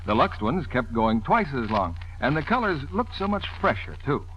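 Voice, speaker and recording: An American man announces in 1940s radio advertising style. His intonation is very lively, at a medium pitch, and the broadcast sounds muffled. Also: moderate pace. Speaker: commercial announcer.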